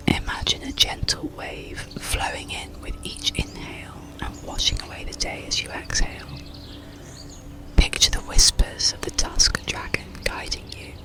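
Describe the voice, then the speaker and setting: A storyteller whispering a bedtime story and guided relaxation in a breathy, soothing, gentle ASMR manner. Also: rumbling low. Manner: slow, soothing, gentle delivery.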